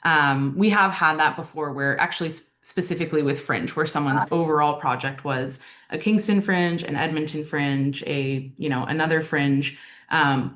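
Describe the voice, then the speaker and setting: Low-voiced woman explaining grant rules. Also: fairly bright tone. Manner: hesitant, many ums and restarts, reassuring. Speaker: arts council grants staff host. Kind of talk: explaining answers in an online Q&A. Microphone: video call.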